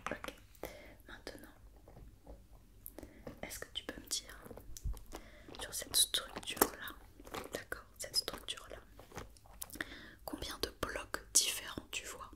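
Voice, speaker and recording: A woman doing a whispered ASMR roleplay as a doctor, patiently and gently repeating questions and reassurances. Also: slow, long pauses.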